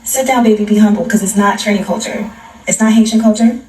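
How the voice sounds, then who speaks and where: A woman's voice: medium pitch, fast talker, a little mellow. An American woman giving a casual opinion in a sassy, emphatic manner. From United States. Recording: echoey, distant microphone.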